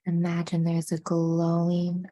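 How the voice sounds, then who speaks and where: A woman leading a guided meditation in a hushed, soothing manner, with deliberate phrasing and frequent pauses. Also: fairly low pitch, monotone.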